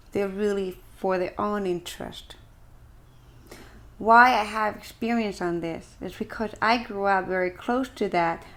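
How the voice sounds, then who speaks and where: A woman from Ecuador with an Ecuadorian Spanish accent, speaking earnestly and deliberately to viewers as a practitioner, with emphatic pauses. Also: medium pitch, a bit bright. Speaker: shamanic practitioner and video host. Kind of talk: earnest explanatory talk to camera.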